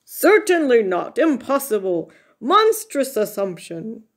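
A stern, gruff bear from a children's storybook, acted out by a woman. He declaims slowly and pompously, with grand swings in pitch and a formal, grumbling manner. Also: very animated, heavily acted. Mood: blustering. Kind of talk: children's storybook character reading.

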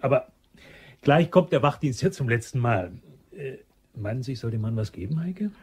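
An actor playing a self-important, chatty shopping center manager in a German crime radio drama, jovial and official in manner. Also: male voice, medium pitch, lively intonation, moderate pace, a little mellow, a little hiss or hum, lightly acted. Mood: businesslike, jovial.

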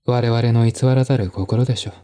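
A man voicing a cynical, composed male writer with dry, sardonic, measured delivery in a dramatized mystery reading. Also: mid-range voice, fairly even intonation, warm tone, natural voice. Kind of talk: dramatized audiobook dialogue.

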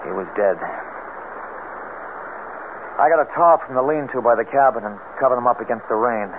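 Anxious confessing hero, played by a man: American, earnest, medium pitch. Heavily noisy 1970s radio sound. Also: a little fast, close to an everyday voice. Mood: grim, confessional. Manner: earnest, troubled. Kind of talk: 1970s radio drama narration and acting. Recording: tinny radio recording, loud background noise.

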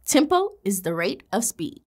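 A woman in her thirties, a teacher presenting a kids' educational video with an animated, encouraging manner. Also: mid-range voice, normal speed, husky. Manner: animated, encouraging, gestures while speaking. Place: indoor classroom studio set.

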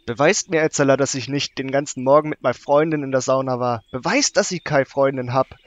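A man's voice: medium pitch, fairly quick, dry recording, muffled recording, lightly acted. A man playing a boastful, taunting teenage bully in an audio drama parody. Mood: mocking. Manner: mocking, taunting. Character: sneering teenage bully, boastful male rival.

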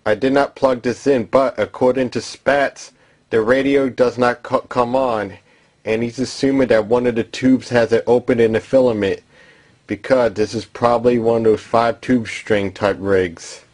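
A man's voice: mid-range voice, steady tone, normal speed, a little mellow. A man giving a casual, matter-of-fact demonstration as a hobbyist, talking plainly from behind a camera indoors. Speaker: vintage radio hobbyist demonstrating a repair. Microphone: camera microphone.

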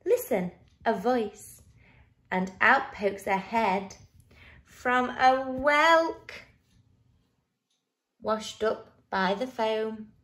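A woman reading a picture book to young children in an expressive, dramatic way. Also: on the high side, very slow, long pauses.

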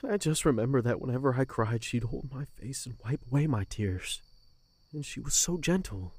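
A man acting a lovesick young captain in a fantasy audio drama, withdrawn and full of guilt. His voice is husky and swings widely in pitch. He speaks haltingly and rawly, recorded close and dry. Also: lively intonation, darkish tone, dry recording. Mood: grieving, tender. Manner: hesitant, emotionally raw, halting.

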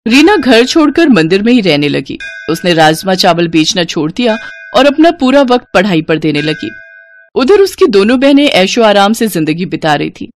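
Female storyteller for scripted moral tale narration, mid-range pitch. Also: sing-song, moderate pace, faint music behind.

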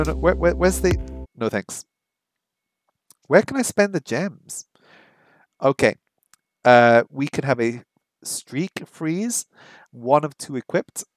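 A middle-aged man live-streaming from a small room at home on a headset mic, chatting casually and repeating practice phrases slowly. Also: medium pitch, no room sound. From English first language, lives in Hong Kong. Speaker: streamer learning Japanese.

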